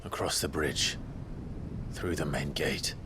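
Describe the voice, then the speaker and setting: An actor playing a brooding, resolute warrior in a video game cutscene, speaking in a hushed, breathy, grave and measured way. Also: male voice, extremely low pitch, fairly even intonation, noisy background, full character voice. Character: brooding, resolute warrior, elder brother, weary and earnest. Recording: orchestral game music behind.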